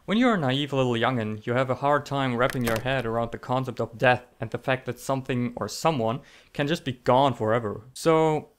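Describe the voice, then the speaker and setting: A man's voice: mid-range voice, brisk, few pauses. A man telling comedic scripted stories in a theatrical, sarcastic, mock-dramatic manner. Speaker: storytelling video creator.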